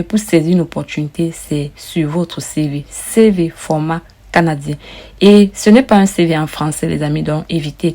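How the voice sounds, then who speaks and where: A woman with a lowish, gravelly, mellow voice talks viewers through an online form. She repeats herself often and calls her audience friends. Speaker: immigration advice vlogger. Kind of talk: casual walkthrough of an application form.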